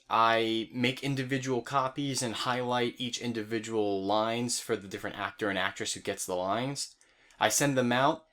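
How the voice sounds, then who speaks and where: A man's voice: medium pitch, fairly bright tone. A young adult man, the creator of an online series, explains his process step by step in an informal, casual update. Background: background music.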